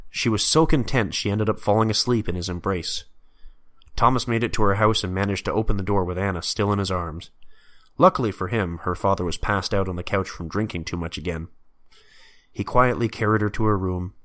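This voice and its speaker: A man's voice: on the low side, fairly quick, a bit bright. A man reading scripted story narration.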